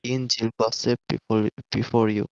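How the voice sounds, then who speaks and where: Bengali-speaking guy from bangladesh calling in, flat and clipped, dull dry line. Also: medium pitch, moderate pace. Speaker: caller. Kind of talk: call-in debate. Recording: muffled dry call line.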